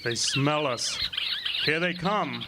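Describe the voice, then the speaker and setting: A man playing a steady, commanding head lighthouse keeper in a radio drama, terse and deliberate. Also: fairly high pitch. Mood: grim alarm. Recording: noisy live outdoor stage recording.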